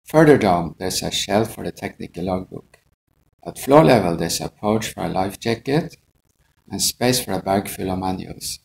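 A man's voice: fairly low pitch, vocal fry, a little mellow, slight background noise, low-quality recording. A middle-aged man, an airline pilot, giving a methodical, scripted instructional narration.

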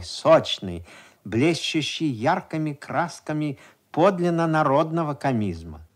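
A man reading a scripted, measured literary commentary as a radio host. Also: on the high side.

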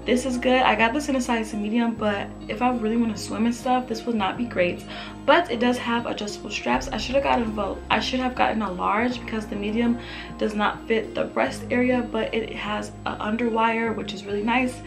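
A young woman vlogger with a slightly raspy, fairly high voice chatters through a fashion try-on haul, upbeat and loose with plenty of fillers. She talks close to the camera in her bedroom. Music plays clearly underneath. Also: steady tone, a little fast. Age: young adult. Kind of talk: casual try-on haul chat. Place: bedroom with photo-collage wall, indoors.

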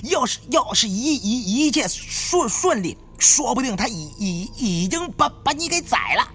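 Guy doing a worked-up stammering tomb raider for an audiobook, voice pushed way up high. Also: very animated, moderate pace, fairly bright tone, soft background music, exaggerated voice. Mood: furious, exasperated. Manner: heavy stutter. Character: agitated, stuttering, foul-mouthed male tomb robber.

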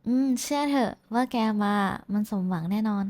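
A woman's voice: medium pitch, moderate pace, mellow, close to an everyday voice. A woman playing a shy, affectionate young woman in an intimate roleplay, talking casually and hesitantly to someone special. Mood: tender, teasing. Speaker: VTuber voice actress. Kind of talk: intimate roleplay voice pack addressed to the listener.

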